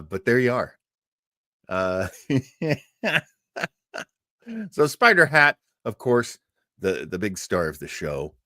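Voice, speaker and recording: A man's voice: mid-range voice, sharp tone, no room sound, studio quality. A man hosting an old-time radio livestream in a casual, rambling, jokey way, chatting to his viewers. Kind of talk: casual livestream chat and introduction.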